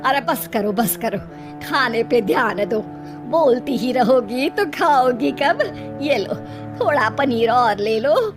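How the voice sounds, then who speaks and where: A middle-aged mother-in-law played by a woman from an animated family story, with a high voice and slow delivery. She bosses and teases fondly, with faint music underneath. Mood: fond scolding. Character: middle-aged Indian mother-in-law, bossy yet fond, teasing. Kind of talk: animated Hindi moral story voice acting.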